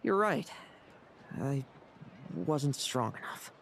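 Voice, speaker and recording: A woman voicing a playful, sharp-edged young knight with a theatrical delivery in an anime-style video game. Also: extremely low pitch, big pitch swings, slow pace, soft background music, heavily acted. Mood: downcast, self-reproaching.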